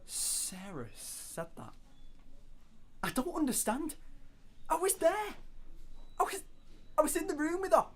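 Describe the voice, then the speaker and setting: A woman's voice: sits high, big pitch swings, unhurried, long pauses. A woman playing a distraught, defensive and protective brother in an audio drama, with emphatic, stammering protests. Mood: anguished, protesting. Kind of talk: dramatic audio scene acting. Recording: clear background noise.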